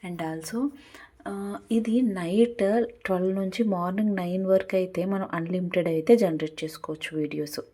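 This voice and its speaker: A woman walks through a tutorial casually, step by step. Her voice is a little low, mellow and husky, with English words mixed in. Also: fairly even intonation, moderate pace. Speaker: tutorial host.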